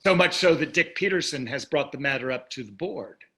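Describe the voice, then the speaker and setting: An actor in a staged play reading, playing a wealthy older husband who is dry, teasing and condescending. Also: male voice, a little high, expressive, a little fast, bright, forceful tone. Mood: dryly teasing. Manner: dry, needling, condescending endearments.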